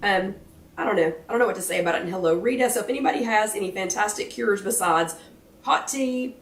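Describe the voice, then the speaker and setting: An American woman hosts a casual live chat in a hoarse, worn voice. She is chatty and a little apologetic, speaking at an average pitch. She sounds set back from the microphone in a roomy, echoing space. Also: fast, a little hiss or hum. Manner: chatty, apologetic, self-deprecating. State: hoarse, failing voice. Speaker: host of a live weight-loss chat. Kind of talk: casual livestream chat greeting viewers. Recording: roomy echo, distant microphone.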